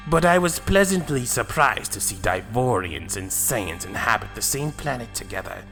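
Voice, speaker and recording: A man's voice: mid-range voice, very animated, moderate pace, pushed and bright, faint music behind. A man playing a smug, taunting alien parasite villain in an anime fan drama, cocky and slangy. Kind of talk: fan-made anime roleplay voice acting.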